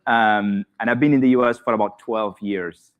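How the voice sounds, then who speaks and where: A man with a Spanish accent presents to a conference audience in a somewhat low voice. He talks in a loose, halting way, often restarting phrases and filling pauses. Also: fairly even intonation, clear, resonant tone, phone-quality sound. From Spain. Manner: hesitant, many fillers and restarts, light laughs. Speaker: conference speaker. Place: conference hall.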